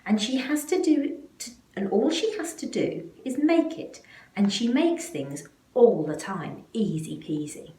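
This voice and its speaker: Lady doing kids story time, slow n dramatic, super up and down voice, bit echoey. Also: fairly high pitch, expressive, dark tone. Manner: deliberate, dramatic phrasing with emphasis on action words. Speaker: school staff member reading a picture book aloud. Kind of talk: expressive children's storybook read-aloud. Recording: roomy, echoey, faint background noise.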